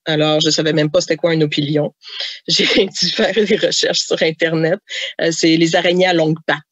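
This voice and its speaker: A woman's voice: low-pitched, flat delivery, moderate pace, dry recording. A woman with a Québécois accent, a novelist and mother, telling anecdotes in a podcast interview, chatty with many fillers and laughs.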